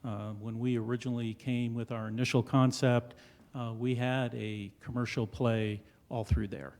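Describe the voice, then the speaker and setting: A man representing a developer, presenting to a town board in a council chamber, loud and full of fillers. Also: mid-range voice, flat delivery, normal speed. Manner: loud and hesitant, many fillers.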